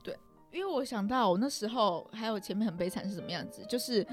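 Young taiwanese woman rambling through a personal story, self-deprecating, talks super fast, bit of music under it. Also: medium pitch, lively intonation. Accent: Taiwanese Mandarin. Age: young adult. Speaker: podcast guest.

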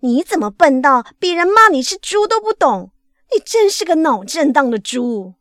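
Woman acting a furious, shrill scolding schoolteacher. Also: big pitch swings, normal speed, bright, forceful tone, dry recording, studio quality, exaggerated voice. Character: furious, exasperated schoolteacher scolding a slow pupil.

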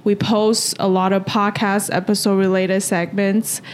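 A woman's voice: mid-range voice, little pitch movement, measured pace, a little hiss or hum. A young woman from Taiwan hosting a podcast, talking casually and hesitantly with lots of "kinda, like.". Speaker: podcast host.

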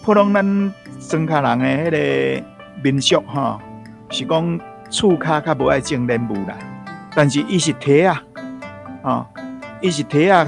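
A man's voice: fairly high pitch, gravelly. A Taiwanese-accented man, a local culture expert, explaining informally in a folksy, repetitive way. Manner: folksy, repetitive, with frequent particles.

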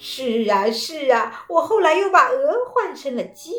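A proud, easygoing old man from a fairy tale, as a woman storyteller puts him on. He sounds pleased with himself and chuckles off every doubt. The voice sits fairly high, in a roomy space with faint music underneath. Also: big pitch swings, measured pace, a bit bright, heavily acted. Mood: cheerful. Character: foolish, cheerful old man.

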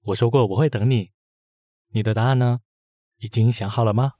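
A man playing a composed, affectionate young businessman in a radio drama, calm and gently teasing. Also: mid-range voice, moderate pace, dry recording, close to an everyday voice. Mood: tender, teasing. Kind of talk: Mandarin radio drama acting.

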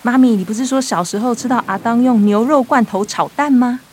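Radio drama younger sister,, Taiwanese accent, high girlish pitch, sweet, helpful and eager. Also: brisk, a little mellow, slightly rough.

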